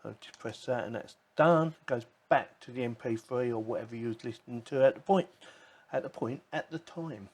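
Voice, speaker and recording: A man's voice: mid-range voice, fairly quick. A man giving a casual, informal product demonstration, chatting and thinking aloud as he goes. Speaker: tech product reviewer. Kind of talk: casual unboxing and demo commentary.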